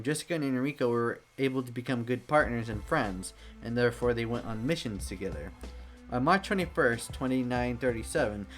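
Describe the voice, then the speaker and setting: A man narrating a fan-made action story in an even reading voice. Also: medium pitch.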